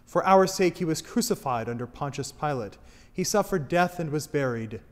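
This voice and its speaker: A man leading a congregation through a creed in steady liturgical recitation. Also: on the high side, normal speed. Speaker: worship leader. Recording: long church reverb.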